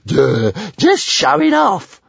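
Little grumpy dragon, stammering, sulky muttering, high-shifted, husky, storybook character. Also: male voice, wildly swooping pitch, slow pace, fairly bright tone, no room sound, muffled recording, full character voice. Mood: grumbling, disgruntled. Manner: muttering, complaining.

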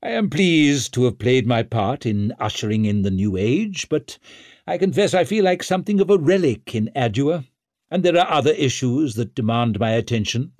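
A man's voice: medium pitch, lively intonation, normal speed, darkish tone, no room sound, clean studio sound, natural voice. A man voicing an old male wizard adviser in an audiobook, formal, hard and patronising in manner. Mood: self-satisfied. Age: older.

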